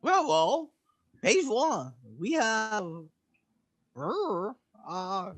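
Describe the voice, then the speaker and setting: A woman describing comic panels in halting, jokey broken English during a comedic reading. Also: a little high, sing-song.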